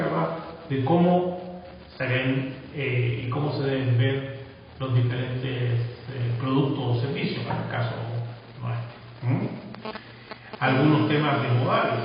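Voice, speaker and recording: A man from Latin America giving a measured lecture, often adding tag questions and pausing between phrases. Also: mid-range voice, a little hiss or hum. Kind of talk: recorded lecture. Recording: echoey distant room recording, dull.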